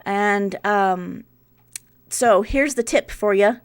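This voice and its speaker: Clear-voiced woman in her forties, chatting casually. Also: medium pitch, slow pace. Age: 40s. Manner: unscripted, conversational, thinking aloud. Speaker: part-time online reseller, video host. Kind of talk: casual explanatory vlog narration.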